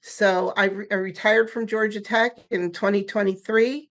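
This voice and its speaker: A woman in her sixties, a guest on a podcast interview, telling her story in a candid, lively way; German was her first language. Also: mid-range voice, moderate pace. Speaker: guest, nonprofit founder.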